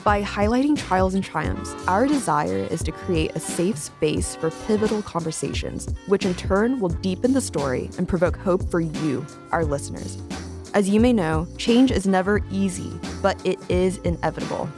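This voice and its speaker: Woman announcer, measured scripted intro over loud music. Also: on the low side, lively intonation. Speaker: show announcer.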